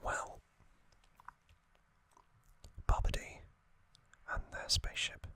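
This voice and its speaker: An ASMR host whispering a relaxed trivia recap, soft and unhurried, with hesitant fillers and small self-corrections. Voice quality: fully whispered, breathy.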